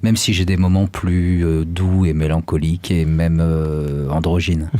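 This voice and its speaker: A man, a singer guest on a radio show, explaining his music in a thoughtful, measured way with some fillers. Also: sits low, flat delivery, dark tone, dry recording. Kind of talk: casual chat. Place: radio studio.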